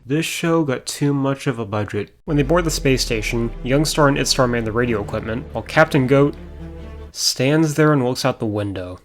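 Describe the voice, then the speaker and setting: A man with a hoarse voice recapping episodes of an old show as a review host, with dry, sarcastic asides. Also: mid-range voice, moderate pace, faint music behind. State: hoarse after a concert.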